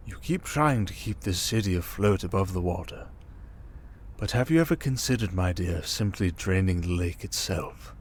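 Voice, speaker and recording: A man playing a smug, manipulative criminal mastermind in an ASMR roleplay, calm and condescending, coaxing with endearments and soft breathy murmurs. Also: on the low side, a little hiss or hum. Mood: pressing, intense. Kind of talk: ASMR roleplay monologue, dramatic.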